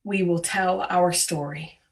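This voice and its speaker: A woman voicing a grieving, devoted female disciple of Jesus in a dramatic worship monologue, sorrowful and deliberate, over a video call. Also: on the low side, steady tone. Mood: grieving, resolute. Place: remote, on a Zoom call. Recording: distant microphone, video call audio.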